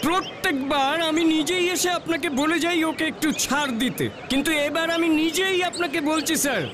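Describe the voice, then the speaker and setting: A man's voice: very high voice, fairly quick, background noise. A man voicing an exasperated, strict middle-aged father in a children's cartoon, insistent and complaining. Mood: fed up. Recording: children's music behind the voice.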